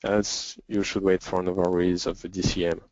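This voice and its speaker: A man with a French accent answering questions as a developer in an informal webinar, speaking hesitantly with frequent pauses. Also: low voice, flat delivery, darkish tone, no echo. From France. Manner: hesitant, halting, with frequent pauses and throat clearing. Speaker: software developer and webinar presenter. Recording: dull lo-fi webinar audio.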